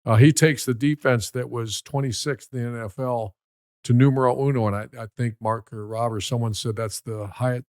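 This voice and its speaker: Coach guy talking football, emphatic, middle pitch, dry close mic. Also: no room sound. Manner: emphatic, repeats key numbers. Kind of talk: casual sports analysis.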